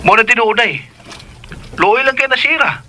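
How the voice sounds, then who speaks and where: A man's voice: very high voice, expressive, moderate pace. An actor with a Filipino Cebuano accent playing a lovesick grown son, earnest and insistent with his mother in a radio drama. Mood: pleading.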